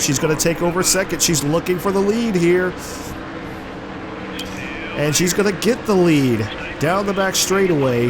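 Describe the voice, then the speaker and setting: A man with a sore, congested throat from flu, giving play-by-play commentary over his own racing game. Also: mid-range voice. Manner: play-by-play, excited when the car slides. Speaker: gameplay commentator. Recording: game car noise behind voice. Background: racing game engine noise.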